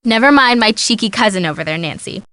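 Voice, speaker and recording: A woman's voice: a little high, lively intonation, fast talker, sharp tone, no room sound, clean studio sound. An actress playing a bubbly, imaginative young woman in a video game, gushing and theatrical. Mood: teasing. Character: bubbly, dramatic, imaginative young woman, sleuth's best friend. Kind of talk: scripted video game dialogue.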